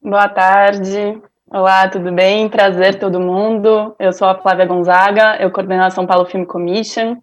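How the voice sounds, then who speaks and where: A woman moderates an online panel in a friendly, easy way, starting her sentences with small fillers. Her pitch sits in the ordinary middle. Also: little pitch movement, normal speed, highs cut off.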